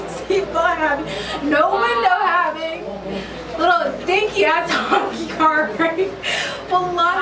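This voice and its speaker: A young woman telling a story casually, in an animated, slangy way, acting out quotes. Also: high voice. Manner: animated, slangy, dramatic retelling with acted quotes. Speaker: interviewee. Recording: echoey, distant, noisy street recording.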